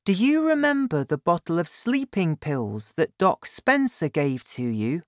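A man reading an audiobook, voicing an eager, clever young boy with a raised pitch and excited questions. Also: a little slow, dry recording, studio quality. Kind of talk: audiobook character voice.

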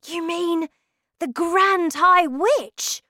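An eager, indignant little storybook boy, acted out by a woman. The voice is very high and leaps about with breathless questions and exclamations. It sounds close and dry. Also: sing-song, takes it slowly, fairly bright tone, dry recording, exaggerated voice. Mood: eager, excited. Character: excitable young boy turned mouse, eager and indignant. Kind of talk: children's storybook character voice.

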